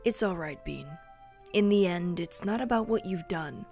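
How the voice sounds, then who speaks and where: A woman's voice: fairly low pitch, lively intonation, normal speed, vocal fry, a little mellow, faint music behind. A woman playing a resolute, vengeful male rebel leader who speaks in earnest, dramatic speeches in a fantasy audio drama. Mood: earnest relief.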